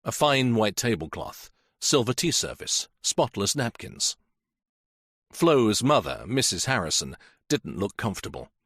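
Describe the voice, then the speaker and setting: A male narrator reads a suspense novel with a steady, expressive delivery. His voice is on the low side but moves a lot in pitch, keeping the sentences alive. The sound is dry and close, with no room echo. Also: measured pace, husky. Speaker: audiobook narrator. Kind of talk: scripted story narration.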